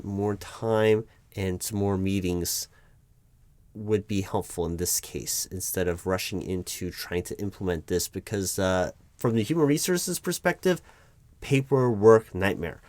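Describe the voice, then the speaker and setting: A low-pitched, dry-sounding recruiting manager, acted out by a man, flustered and exasperated. He halts, stammers and repeats himself as he objects, in a spoken-aloud office roleplay. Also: no echo, close to an everyday voice. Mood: flustered, objecting. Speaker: roleplay performer. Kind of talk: improvised one-sided roleplay, loud spoken ASMR.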